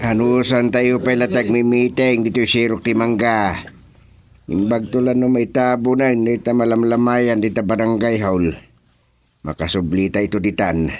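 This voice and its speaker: A man's voice: medium pitch, steady tone, normal speed, soft background music, lightly acted. A man playing an authoritative, practical village chairman running a meeting in a radio drama comedy. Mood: businesslike.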